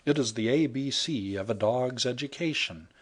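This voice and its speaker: A man narrating a humorous short story in the first person, wry and even. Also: medium pitch, normal speed. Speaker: audiobook narrator. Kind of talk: scripted narration.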